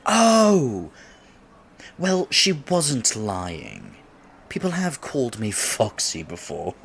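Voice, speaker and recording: A man's voice: medium pitch, creaky, slight background noise, exaggerated voice. A man in his twenties playing a nervous, polite young silver fox kitsune who wants to seem mature, in an ASMR date roleplay, speaking formally with stammers. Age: 20s. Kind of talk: ASMR roleplay, scripted one-sided date conversation.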